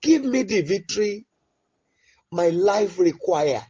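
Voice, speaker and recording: A man leading an impassioned, pleading prayer, swinging between loud cries and low murmurs. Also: lively intonation, takes it slowly, breathy edge, muffled recording. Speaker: prayer leader. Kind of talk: fervent spoken prayer.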